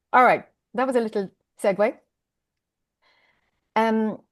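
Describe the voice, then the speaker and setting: A woman's voice: mid-range voice, darkish tone. A woman in her fifties with an Irish accent, a spiritual teacher talking candidly and rambling a little as a guest on a video call interview. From Ireland. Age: 50s. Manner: rambling, candid, laughs often. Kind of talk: conversational interview.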